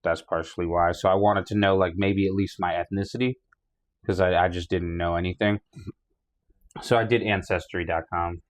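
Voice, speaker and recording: A man's voice: low-pitched, fairly even intonation, normal speed. A man in his thirties from New York, a guest rapper talking in a laid-back, rambling way on a casual podcast. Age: 30s.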